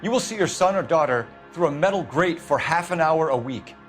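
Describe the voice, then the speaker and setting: A man's voice: a little high, lively intonation, close to an everyday voice. A man playing a hard-nosed police detective in a crime game, pressing his point sternly. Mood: angry, forceful. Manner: stern, pressuring, deliberate emphasis. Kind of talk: dramatic game acting. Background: background music.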